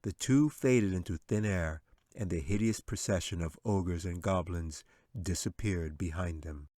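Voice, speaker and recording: Guy reading a fantasy audiobook, very low voice, dramatic and measured, dry sound. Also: mellow, dry recording. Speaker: author reading own audiobook. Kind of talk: scripted fantasy story narration.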